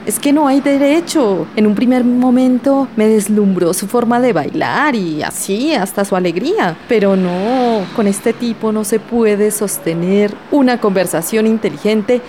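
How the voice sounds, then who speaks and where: A woman's voice: medium pitch, measured pace, hardly any pauses, dark tone, slight background noise, lightly acted. An actress playing an indignant, fed-up Colombian woman, scolding and sassy in a radio soap street interview.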